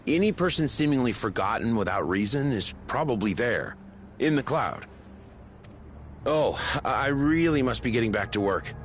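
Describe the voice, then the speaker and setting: A man's voice: medium pitch, expressive, moderate pace, a little hiss or hum, lightly acted. A man playing a polite official in a fantasy video game, with a hesitant, apologetic manner. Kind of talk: scripted video game acting.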